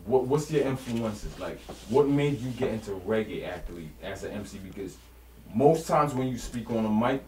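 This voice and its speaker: A man's voice: lowish voice, expressive, normal speed, a little mellow, roomy sound, slight background noise, poor audio. A man interviewing guests in a casual way, direct and willing to interrupt to steer the talk.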